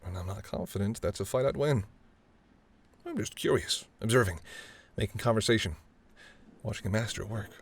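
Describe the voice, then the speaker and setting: A man acts out a charming mafia boss in an intimate audio roleplay. His voice sits on the low side. He is smooth-talking and playful, teasing and flirting with the listener while staying politely apologetic. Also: fairly quick, long pauses. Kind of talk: intimate second-person roleplay audio acting.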